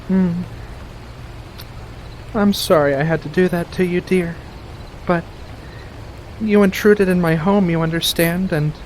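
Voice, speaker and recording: A man performs a playful witch in a soft-spoken ASMR roleplay, coaxing and teasing with a hint of menace. The pitch sits a little high for a male voice and the delivery is very leisurely, full of deliberate pauses. Soft rain and faint hiss lie behind the words. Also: poor audio. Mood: teasing, reassuring. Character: playful, coy female witch in a forest cottage, faintly menacing.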